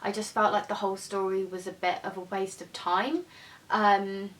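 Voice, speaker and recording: A woman's voice: flat delivery, normal speed, a bit bright. A young woman in her twenties, chatting casually and a little hesitantly as she reviews books she has read. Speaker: book vlogger. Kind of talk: casual book review chat. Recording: voice from a distance over faint rumble.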